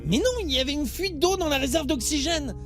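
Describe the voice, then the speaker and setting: A man's voice: very high-pitched, lively intonation, fast talker, a bit bright, soft background music. A man playing a defensive, whiny, easily flustered spaceship crew member in an audio drama. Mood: indignant. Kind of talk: French audio drama acting.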